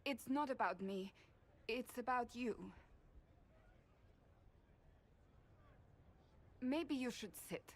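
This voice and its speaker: A woman playing an earnest, solemn and blunt warrior in a video game, speaking formally and deliberately to a friend. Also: a little high. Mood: hesitant, earnest. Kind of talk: video game cutscene acting.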